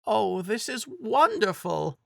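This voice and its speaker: A man voicing a kindly, gentle old woman in a dramatic fantasy story reading. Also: high-pitched, big pitch swings, slow, creaky, dry recording, studio quality, voice pushed up, heavily acted. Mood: pleased.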